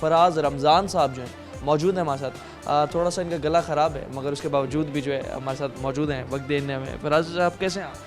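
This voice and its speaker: A male news anchor with a somewhat high voice. He reports in an earnest, drawn-out way and stops often on hesitant fillers. Clear music plays behind him. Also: steady tone. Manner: earnest, drawn-out delivery with many filler pauses. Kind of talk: formal news reporting and studio interview.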